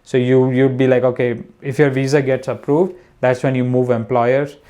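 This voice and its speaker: A man's voice: mid-range voice, flat delivery, moderate pace, warm tone. A young man from India, a comedian guest, talking casually with many restarts and fillers. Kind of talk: casual conversation.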